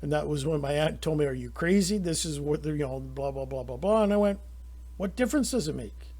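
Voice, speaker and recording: A man's voice: a little high, expressive, a little fast, mellow, slightly rough. A middle-aged man with a Canadian accent, talking through his opinions in a rambling, indignant monologue full of restarts. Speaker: channel host.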